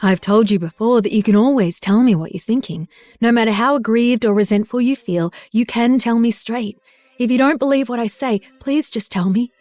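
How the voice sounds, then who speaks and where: A woman's voice: fairly high pitch, moderate pace, darkish tone, no room sound, natural voice. Brief: possessive young husband, voiced by a woman reader, firm and insistent, faint music behind.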